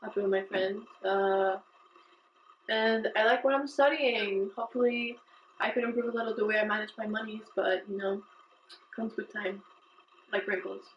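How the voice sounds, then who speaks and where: Flat-voiced young woman in an echoey room. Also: mid-range voice, moderate pace. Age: young adult. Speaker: student interviewee. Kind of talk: casual interview answers. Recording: echoey room, dull sound, faint noise.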